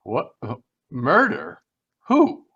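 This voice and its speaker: A man's voice: fairly high pitch, huge pitch swings, slow, bright, forceful tone, breathy edge, full character voice. Guy playing a wordy stuffy radio mystery man brushing off a detective, kinda dull lo-fi sound. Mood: defensive. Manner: formal, dismissive, wordy. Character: smooth, evasive man fending off a detective. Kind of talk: old-time radio mystery acting. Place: remote live video call performance. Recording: dull lo-fi video call audio.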